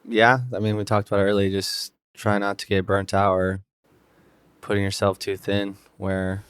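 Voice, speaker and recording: Low-voiced man, tired, dry close sound. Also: completely flat delivery, normal speed, a bit bright, smooth, no room sound. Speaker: podcast co-host. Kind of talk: casual podcast chat.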